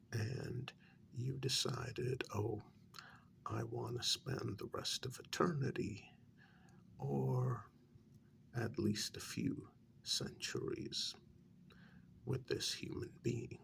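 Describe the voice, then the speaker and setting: A man playing a calm, courteous, frank human mediator in a soft-spoken ASMR vampire role-play, with a breathy, whispery voice and a hesitant, measured delivery. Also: medium pitch, slight background noise, full character voice. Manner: slow, hesitant, polite, measured.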